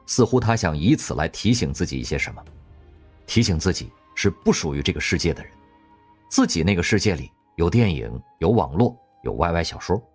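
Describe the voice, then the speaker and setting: A man narrating an audio drama in a wry, expressive storytelling manner. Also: fairly low pitch, husky. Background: faint background music.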